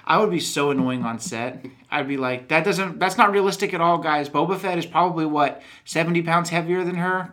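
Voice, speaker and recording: Rambling, animated man from Texas. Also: on the high side. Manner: rambling, animated, self-interrupting. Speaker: podcast host. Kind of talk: casual chat.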